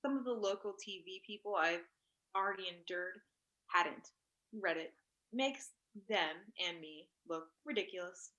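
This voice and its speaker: A woman's voice: medium pitch, a little slow, sharp tone, dull audio. A woman in a staged play reading, playing a prickly, blunt academic author who talks in terse, disdainful questions. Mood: cool, hostile. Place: indoors on a Zoom call.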